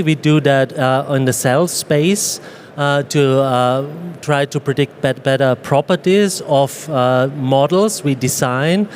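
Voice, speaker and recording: A man from Austria with an Austrian accent, answering as a panelist in a fluent, explanatory way and slowing on key terms. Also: on the high side, a little mellow, a little hiss or hum. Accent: Austrian German. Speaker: panelist, AI executive.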